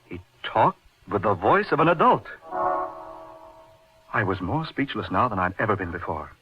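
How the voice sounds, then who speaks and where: An actor playing a haunted, intense man recounting his strange past in an old radio horror drama, with florid theatrical delivery and dramatic pauses. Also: on the low side, big pitch swings. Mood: grave, remembering. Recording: dull old radio recording with music behind. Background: dramatic music.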